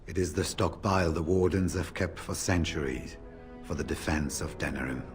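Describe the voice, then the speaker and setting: A seasoned warden warrior in a fantasy role-playing game. His voice is deep and he speaks plainly, in a measured storytelling way. Soft music plays underneath. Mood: friendly, businesslike. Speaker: game voice actor. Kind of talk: fantasy RPG dialogue.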